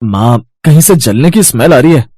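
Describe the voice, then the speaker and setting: A man's voice: mid-range voice, lively intonation, normal speed, a little mellow, husky. A man voicing a cold, fed-up young husband in a dramatized moral story. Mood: puzzled.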